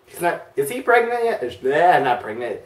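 Excitable teen guy, roomy room sound. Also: fairly high pitch, moderate pace, far from the mic. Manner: excitable, embarrassed, jumps between mutters and yelps. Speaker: YouTuber reacting to old videos. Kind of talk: casual reaction commentary. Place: bedroom, indoors, hugging a big stuffed bear.

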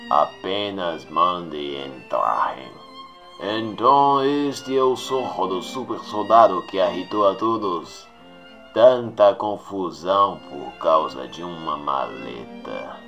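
A man's voice: low voice, slow, bright, forceful tone, heavily acted. A man acting a gloating, theatrical masked villain ruler in a motion comic dub, mocking and menacing, with music underneath. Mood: smug menace. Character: sneering, gloating villain tyrant, a masked skull-faced supremacist ruler.

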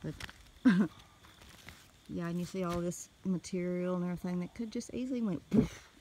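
A woman with a Southern US accent telling a story casually in a folksy, animated way, recorded on a handheld phone outdoors. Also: lowish voice, moderate pace, noisy background. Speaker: homestead vlogger. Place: outdoors in a wooded rural yard.

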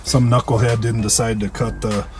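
A man's voice: mid-range voice, flat delivery, moderate pace. An American man giving a casual, colloquial, opinionated walkaround as a car restorer. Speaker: car restorer presenting a find. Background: music.

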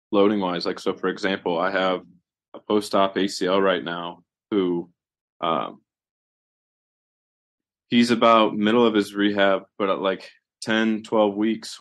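A man co-hosting a podcast, talking in a loose, conversational way with strong opinions. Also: lowish voice. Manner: conversational, animated opinions, many fillers. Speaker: podcast co-host, physical therapist. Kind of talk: casual podcast discussion.

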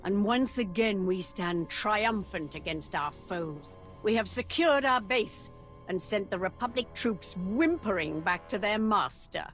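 A scheming, bloodthirsty Sith officer. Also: female voice, medium pitch, expressive, measured pace, a bit bright. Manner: relishing, scheming. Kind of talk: video game voice acting. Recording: game audio with music score.

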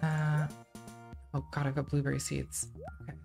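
A woman in her thirties with a very soft, quiet voice, chatting casually to her live-stream chat while playing a game, self-deprecating and laughing often. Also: low-pitched, monotone, normal speed, gravelly, faint music behind. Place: home streaming room, indoors.

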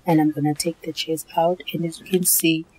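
A South African woman narrating her cleaning vlog in a relaxed, chatty voice-over, often repeating herself. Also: sits low, brisk, husky, poor audio. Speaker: home cleaning vlogger.

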